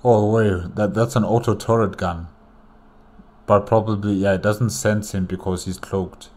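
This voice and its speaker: A man giving casual solo reaction commentary as host, halting as he searches for words. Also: fairly low pitch, fairly even intonation, darkish tone.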